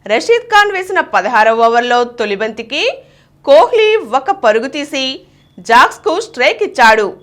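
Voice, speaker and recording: A woman's voice: a little high, sing-song, normal speed, a bit bright. A woman reading a scripted news bulletin. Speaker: news reader.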